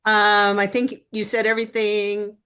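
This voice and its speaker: A woman's voice: mid-range voice, monotone, unhurried, bright, forceful tone, clear, resonant tone. An American woman from the Detroit area leading an online workshop in a chatty manner, often asking her audience questions over a video call. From Detroit area, United States. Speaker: workshop leader and business consultant. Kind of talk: conversational online workshop talk.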